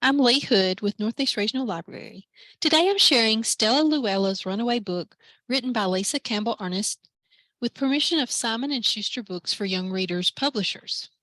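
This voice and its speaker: Librarian lady doing kids story time, expressive, bright voice, dry close sound. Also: fairly high pitch, normal speed, no room sound. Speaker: library branch manager, story time reader. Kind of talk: children's read-aloud.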